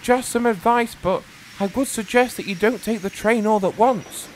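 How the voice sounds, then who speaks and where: A man voicing an older, sensible steam engine in a children's story, gently giving advice. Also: high-pitched, moderate pace, background noise, voice pushed up, lightly acted. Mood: advising. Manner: gentle, advisory.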